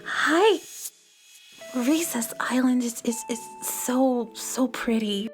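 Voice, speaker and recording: A woman's voice: a little high, soft background music. Shy, stuttering little alien girl. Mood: shy, nervous. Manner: halting, heavy nervous stutter. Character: shy, sweet young sludge alien schoolgirl. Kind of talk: children's audio story acting.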